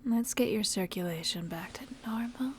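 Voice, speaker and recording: A woman voicing a seductive, predatory, ancient vampire in a breathy, intimate whispered ASMR roleplay. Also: mid-range voice, slight background noise, close to an everyday voice. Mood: apologetic, soothing. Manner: slow, intimate, menacing endearments.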